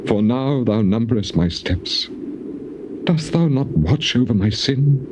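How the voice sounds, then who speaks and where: A man's voice: mid-range voice, lively intonation, measured pace, natural voice. An actor playing a grieving, embittered man of the Bible in a solemn, declamatory theatrical recitation of old scripture. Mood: mournful. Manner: solemn, declamatory, archaic phrasing. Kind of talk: dramatised King James scripture, theatrical recitation. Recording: noisy old cassette tape.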